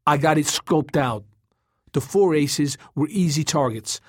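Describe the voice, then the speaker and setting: Man acting a defiant young hothead, pitched up. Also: expressive, measured pace, dry recording, clean studio sound. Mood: determined. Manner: insistent, hot-tempered. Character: earnest, defiant, easily angered young man. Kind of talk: audiobook character dialogue.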